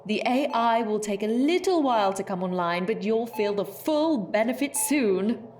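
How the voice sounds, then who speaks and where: An actress playing the brisk, unflappable chief of a space spy bureau, formal and dryly authoritative, in a sci-fi audio drama. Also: female voice, on the high side, expressive, a little mellow, a little hiss or hum, lightly acted. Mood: unruffled.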